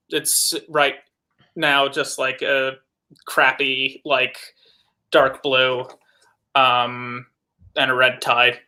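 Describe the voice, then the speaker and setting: A man's voice: medium pitch, creaky, sharp tone. A man chatting casually as a player in a tabletop roleplaying game. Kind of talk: casual chat.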